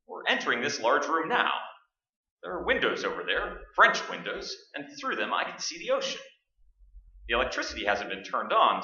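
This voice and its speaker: Give a high, animated voice to a brash radio host on a ghost hunt , acted by a man on stage. He is a wisecracking skeptic who narrates aloud in radio play style. The sound is distant and echoey. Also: heavily acted. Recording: roomy stage recording, distant, dull.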